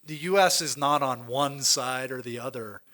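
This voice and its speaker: American guy on a panel, talks it through out loud, lots of um and i mean. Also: medium pitch, normal speed. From United States. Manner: thinking aloud, many restarts and fillers. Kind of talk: conference panel discussion. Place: lecture hall at a conference.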